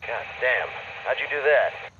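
An easygoing military pilot on the radio. His voice is forceful and sharp, band-limited, with faint noise behind. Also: medium pitch, animated, measured pace, muffled recording. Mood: casual, alert.

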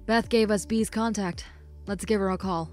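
A woman's voice: mid-range voice, steady tone, moderate pace, a little mellow, faint music behind, close to an everyday voice. A woman playing an eager young-hearted detective in an amateur fan film. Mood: curious. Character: eager, easily surprised female detective.

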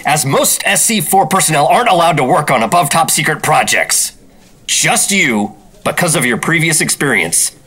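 Radio-play lead: firm American government agent, 1950s, crisp bright tone, authoritative, measured delivery. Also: male voice, a little high, full character voice. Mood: stern, insistent. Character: firm, dutiful 1950s government secret operative. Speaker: lead actor and narrator. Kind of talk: 1950s radio drama acting and narration.

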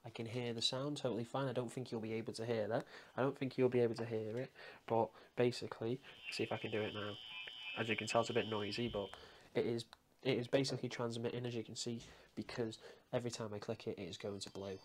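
A man with an average-pitched voice presents a casual how-to. He talks informally through each step as he goes, often repeating the instructions. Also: level tone, fast, a little hiss or hum. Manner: informal, repetitive step-by-step explaining. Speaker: tutorial presenter. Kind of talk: casual screen-recorded how-to walkthrough.

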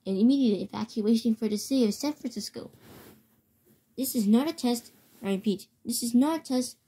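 Mellow-voiced woman reading a mock emergency alert. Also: on the high side, breathy edge. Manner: halting reading with stumbles and repeats. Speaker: amateur announcer of a homemade alert. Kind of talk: scripted mock emergency alert read aloud.

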